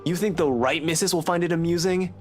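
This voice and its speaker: A man's voice: on the high side, fairly quick, soft background music, natural voice. A man voicing a stern, protective male investigator who scolds curtly and swears when angry.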